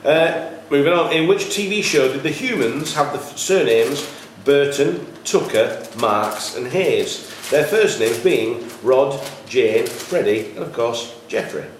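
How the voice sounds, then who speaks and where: A man working as quizmaster, reading questions to contestants in a measured way with informal asides. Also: medium pitch, expressive. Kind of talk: reading pub-style quiz questions to contestants. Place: echoey room at a cricket club. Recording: very echoey room, faint noise.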